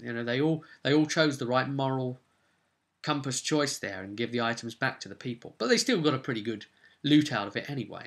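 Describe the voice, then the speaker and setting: A man casually recapping his tabletop game as game master, rambling and breaking off into animated asides to viewers. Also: mid-range voice. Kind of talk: casual video recap, storytelling.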